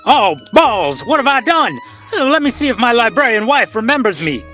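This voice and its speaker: A man's voice: extremely high pitch, sing-song, normal speed, sharp tone, faint music behind, exaggerated voice. A man playing a flustered, self-pitying everyman husband in a comic radio play, whiny and exasperated.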